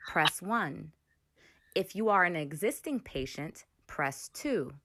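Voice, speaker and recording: A woman acting as the recorded phone menu of a doctor's office, measured and instructional, in a play reading. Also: fairly low pitch.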